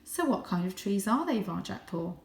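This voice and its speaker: A woman voicing a wise old male cat teaching in a storybook, abrupt and measured. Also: medium pitch, big pitch swings, vocal fry. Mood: stern, instructive. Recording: roomy, echoing indoor sound.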